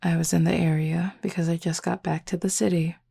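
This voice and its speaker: A woman playing a flirty, teasing, confident werewolf pack member in an intimate audio roleplay, speaking unhurriedly with a husky, gravelly voice. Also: fairly low pitch, flat delivery, brisk, mellow, full character voice. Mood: matter-of-fact.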